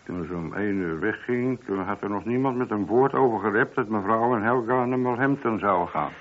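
A man's voice: lowish voice, brisk, close to an everyday voice. A man playing a chatty police sergeant in a radio drama, matter-of-fact and slightly cheeky as he reports. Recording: dull, muffled old radio drama recording.

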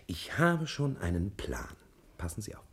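An actor playing a courteous, self-assured, scheming private detective in a 1960s German radio crime play, with a husky voice. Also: male voice, lowish voice, huge pitch swings, a little slow, heavily acted.

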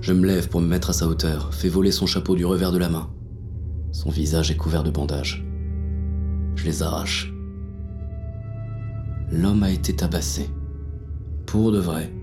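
An actor playing a paranoid, brooding police inspector on the run, narrating a noir audio drama in coarse first person. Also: male voice, sits low, steady tone, unhurried, vocal fry, long pauses, over music. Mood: tense. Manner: gritty, coarse, brooding inner monologue.

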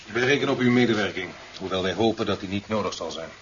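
A man's voice: fairly low pitch, fast, fairly bright tone, natural voice. Stern president played by a man, muffled hissy recording. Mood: firm. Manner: solemn, oratorical, commanding. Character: stern, resolute male president addressing officials. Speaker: radio drama actor. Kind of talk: 1970s Dutch radio play acting. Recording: dull, hissy 1970s radio recording.

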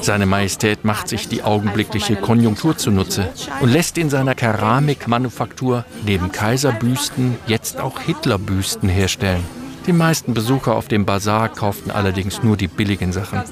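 Ein Mann erzählt sachlich im Stil einer Dokumentation in einem satirischen Hörspiel. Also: male voice, on the low side, moderate pace, over music, close to an everyday voice. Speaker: narrator. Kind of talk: scripted mock-documentary narration.

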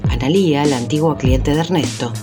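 A woman performing as a measured storyteller, narrating a radio drama from a script. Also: low-pitched, close to an everyday voice. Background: music.

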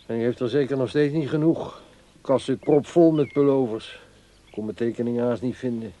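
A man's voice: medium pitch, brisk, warm tone, lightly acted. An actor in a radio play as a middle-aged man, grumbling and resigned, wistful about thwarted ambitions.

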